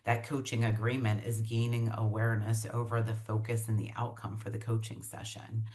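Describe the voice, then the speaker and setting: A woman's voice: very deep voice, level tone, brisk, a little mellow. A woman executive coach as an interview guest on a video call, explaining her ideas with enthusiasm and some restarts.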